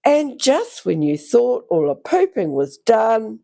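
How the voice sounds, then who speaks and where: A woman reading a rhyming children's picture book aloud in a theatrical, expressive way, drawing out phrases for young listeners. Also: a little high, slow, vocal fry. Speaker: children's story reader.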